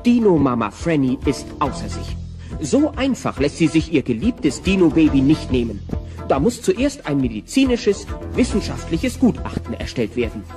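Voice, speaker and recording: An actor narrating a comic dinosaur tale in scripted German audio drama storytelling style. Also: male voice, a little high, very animated, moderate pace, music in the background.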